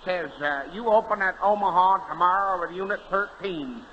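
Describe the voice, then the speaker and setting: An actor playing an excitable rustic neighbour with a folksy twang in a 1930s radio comedy. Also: male voice, high voice, moderate pace, lightly acted. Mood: nosy, sceptical. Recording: very noisy, muffled 1930s radio recording.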